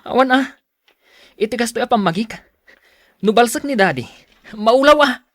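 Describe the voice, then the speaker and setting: A man's voice: very high-pitched, lively intonation, moderate pace, fairly bright tone, no echo, full character voice. Guy doing a young son in a radio drama, hurt but dutiful, close dry sound. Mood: resentful, upset. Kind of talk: audio drama acting.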